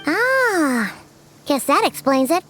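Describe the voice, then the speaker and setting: Game sidekick: small girlish fairy, squeaky and very high, animated, informal, music behind. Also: slow pace, heavily acted. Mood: curious, puzzled. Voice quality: squeaky, childlike. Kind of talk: video game English dub acting.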